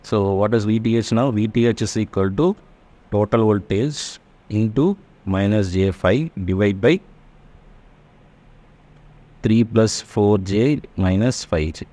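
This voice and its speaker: A man with a fairly low voice teaches a worked engineering problem step by step. His pace is very slow and halting, and he stops now and then to check that it is understood. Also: mellow. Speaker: electrical engineering lecturer. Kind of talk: step-by-step worked math tutorial.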